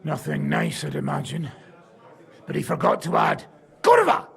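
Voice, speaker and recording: An actor playing a gruff, sardonic mercenary leader with a raspy, gravelly voice in a video game. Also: male voice, fairly high pitch, wildly swooping pitch, normal speed, heavily acted. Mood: wry. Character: gruff, commanding, sardonic mercenary leader among hard drinkers. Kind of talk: video game cutscene acting.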